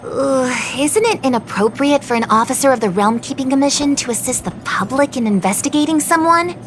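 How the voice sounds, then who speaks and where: A high-pitched young woman clerk in a video game, played by an actress. She is formal, courteous and earnest, explaining in an orderly way with music underneath. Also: brisk, natural voice. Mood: earnest, hesitant.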